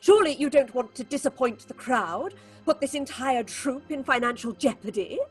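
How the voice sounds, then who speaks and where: A proud woman directing a theatre troupe in a horror audio drama. Her voice sits high with a rough, husky edge over faint music. She is commanding, clipped and theatrical, with brittleness underneath. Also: lively intonation, moderate pace. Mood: icy composure. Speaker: actress.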